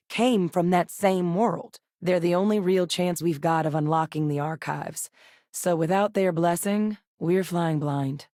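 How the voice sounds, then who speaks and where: A composed officer and scientist, voiced by a woman, with a low pitch and a steady, businesslike delivery. She gives orders and reports with calm control. The game voice-over is recorded bone-dry, with no room around it. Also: dry recording, natural voice. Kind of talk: video game voice lines. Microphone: studio voice-over.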